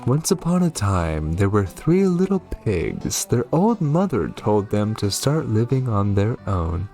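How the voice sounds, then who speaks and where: A man reading a story game aloud in an expressive storybook style. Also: on the low side, a little slow, faint music behind. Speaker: gaming YouTuber reading a game's story aloud.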